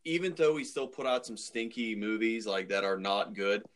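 A man's voice: fairly low pitch, normal speed. A man hosting a casual podcast, talking in a loose, rambling, informal way with the odd swear word. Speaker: podcast host.

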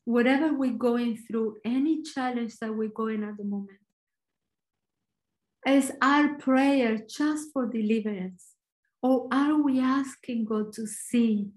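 A woman with an Argentinian accent giving a short, earnest devotional talk, reflective and pausing. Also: a little high, a little mellow, low-quality recording. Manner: earnest, reflective, slow pauses. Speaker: church speaker.

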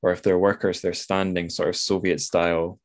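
A man's voice: deep voice, level tone, a little fast. A man hosting a casual literary discussion, thinking aloud and correcting himself as he goes. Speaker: podcast host.

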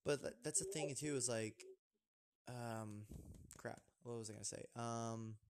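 A man's voice: medium pitch, unhurried, background noise. A young man hosting a casual podcast chat, rambling with lots of fillers and restarts. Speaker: podcast host.

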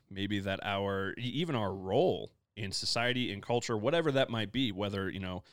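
Rambling low-voiced man moderating a chat. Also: clean tone, dry recording, clean studio sound. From US Midwest. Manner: rambling, many fillers, joking asides. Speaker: pastor, discussion moderator. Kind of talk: casual panel discussion.

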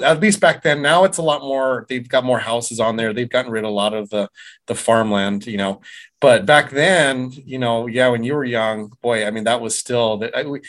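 A man's voice: mid-range voice, a bit bright. An American man from Arizona hosting a casual podcast interview in a chatty, informal way. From Tucson, Arizona, United States. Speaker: podcast host and small business owner.

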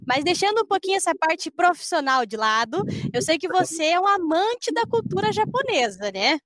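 A woman's voice: very high-pitched, sing-song, moderate pace, fairly bright tone, no room sound. A woman hosting an interview, friendly and upbeat, reading out viewer comments. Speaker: interviewer host.

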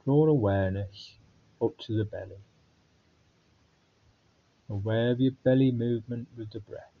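A man guiding a body scan meditation in a calm, unhurried way with long pauses. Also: mid-range voice, phone-quality sound. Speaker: meditation guide.

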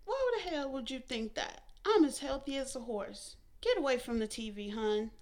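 A woman voicing a blunt, stubborn older mother who scolds loudly in folksy dialect. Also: high voice, lively intonation, moderate pace, voice pushed up. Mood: indignant. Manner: loud, folksy and bossy, drawled dialect.

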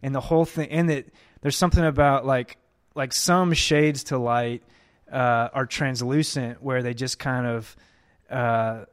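A man's voice: mid-range voice, fairly even intonation, measured pace, clear, resonant tone. Guy in his 30s on a podcast, chatty, keeps laughing mid-sentence. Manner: rambling storyteller, laughs often. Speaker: podcast host. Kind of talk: casual chat.

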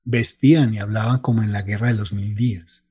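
A man's voice: lowish voice, fairly even intonation, brisk, darkish tone, husky. A man with a Colombian accent reading scripted literary narration for a radio drama.

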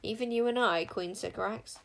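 A composed enchanter from a fantasy storybook, voiced by a woman. He is grim and understated, speaking in a measured, cool way. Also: medium pitch, creaky, close to an everyday voice.

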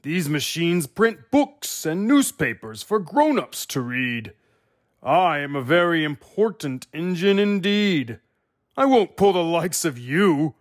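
A man's voice: a little high, sing-song, takes it slowly. Storybook freight engine, played by a man: big, self-important, bellowing and easily affronted. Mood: haughty indignation.

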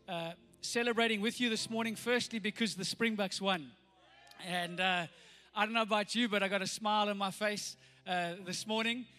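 A middle-aged South African man with a slightly croaky voice, praying and preaching a sermon with earnest, rising emphasis. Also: high voice, pushed and bright. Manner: earnest, builds to rousing emphasis. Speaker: pastor. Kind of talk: sermon with prayer.

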